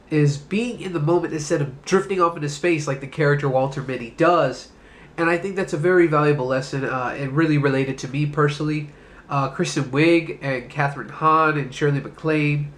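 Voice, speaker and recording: A man's voice: fairly high pitch, a bit bright. A man hosting his own movie review video, speaking with a congested, stuffed-up voice from being sick and coughing now and then, in a loose, rambling way that includes casual swearing.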